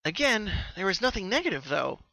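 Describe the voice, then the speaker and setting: A man acts out a young female party pony in a dramatic story reading. The voice is raised high and very bright, with close dry sound. She is sweet, troubled and earnest, wearing her emotions openly. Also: very animated, brisk, vocal fry, dry recording, heavily acted, low-quality recording. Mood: earnest, puzzled.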